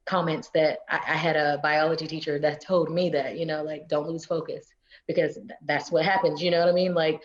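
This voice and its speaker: Woman, North Carolina accent, lower voice, chatty, fills with you know and like, muffled lo-fi audio. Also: flat delivery, brisk. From coastal North Carolina. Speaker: guest, space agency public affairs officer. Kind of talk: casual interview chat.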